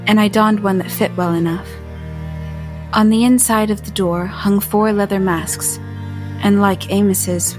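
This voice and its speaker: A woman's voice: mid-range voice, lightly acted. Apprehensive heroine over music, voiced by a woman. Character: apprehensive but resolute female narrator-heroine. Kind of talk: first-person audio drama narration. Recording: music under the voice.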